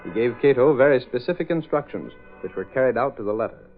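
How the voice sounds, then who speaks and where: A man plays a formal, emphatic radio serial narrator, speaking quickly at medium pitch. Music plays prominently. The recording is narrow and old. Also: lively intonation, lightly acted. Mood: urgent, formal. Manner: formal, emphatic announcer delivery. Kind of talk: 1930s-40s radio drama scripted narration. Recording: phone-like vintage radio recording.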